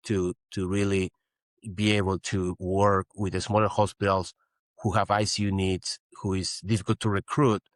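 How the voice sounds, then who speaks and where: A middle-aged man from Peru speaks in a low voice, explaining his work earnestly and patiently. The recording is dry and close, with no room sound. He leans on "you know" as he talks. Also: level tone, normal speed, no echo. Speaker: guest physician. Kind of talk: interview conversation.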